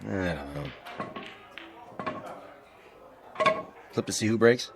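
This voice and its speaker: A man's voice: fairly low pitch, lively intonation, fast talker, heavy background noise. An actor playing an easygoing but wary traveling man in a radio drama, casual and skeptical in his talk.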